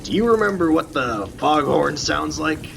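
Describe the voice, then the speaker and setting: A man playing a calm, wise, mysterious lighthouse keeper in a student radio play, speaking in a deliberate storytelling way with pauses. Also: a little high, creaky, heavily acted. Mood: leading, mysterious. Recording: rain and thunder noise behind. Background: rain and thunder.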